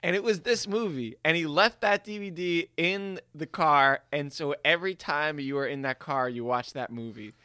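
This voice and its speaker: A man with a bright, forceful, slightly high voice chats casually as a podcast co-host. His sound is close and dry, and he rambles playfully through his stories. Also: a little slow, clean tone, dry recording. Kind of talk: casual comedic chat.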